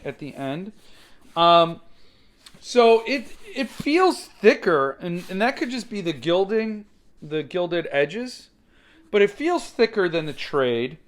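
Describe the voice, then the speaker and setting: Higher-pitched man, casual unboxing commentary. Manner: conversational, opinionated, explanatory. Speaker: book collector reviewer, channel host.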